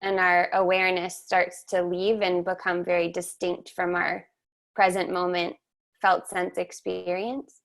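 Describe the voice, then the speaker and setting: Woman, gravelly voice, even monotone delivery, reflective webinar host, video call. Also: lowish voice. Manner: slow, measured, reflective. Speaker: webinar host and somatic teacher. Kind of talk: reflective guided conversation.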